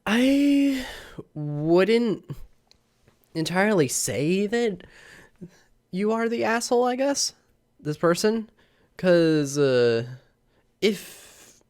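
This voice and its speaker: Young man reading posts, lively pitch. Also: clean tone. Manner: rambling, chuckling, many fillers. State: slightly sick. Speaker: channel host reading posts. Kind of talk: casual vlog reading aloud. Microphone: camera mic.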